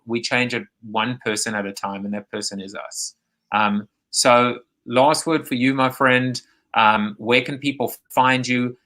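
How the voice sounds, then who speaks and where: South African man hosting, bright voice. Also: medium pitch. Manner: rambling, frequent restarts and fillers. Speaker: host. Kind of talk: live online interview show. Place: home, remote video call.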